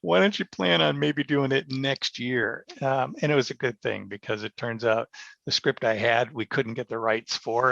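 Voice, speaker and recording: Gravelly man, muffled roomy recording. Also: mid-range voice, a bit bright. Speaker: guest, radio theatre director. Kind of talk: casual interview storytelling. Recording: dull, muffled lo-fi roomy sound.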